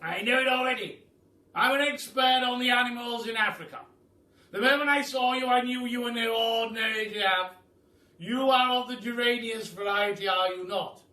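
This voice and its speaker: A man voicing a loud, pompous, generous aristocratic Duke from a storybook, grand and exclamatory. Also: extremely high pitch, moderate pace, voice pushed up. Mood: hearty, knowing. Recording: roomy echo, far from mic.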